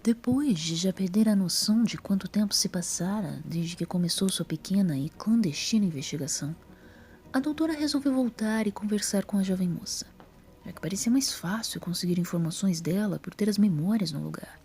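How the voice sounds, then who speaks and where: A woman with a Brazilian accent narrating a scripted story in a breathy voice. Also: mid-range voice, expressive, fairly quick, darkish tone.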